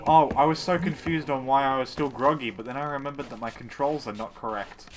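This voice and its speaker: Male game streamer, average pitch, casual off-the-cuff chatter with friends online. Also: fairly even intonation, brisk. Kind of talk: casual chat while playing. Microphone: online voice chat.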